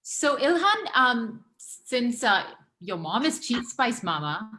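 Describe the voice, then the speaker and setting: A woman's voice: on the high side, very animated, slow, pushed and bright, low-quality recording. A woman hosting a casual group chat, interviewing children in an encouraging way and often chiming in with short affirmations. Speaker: host.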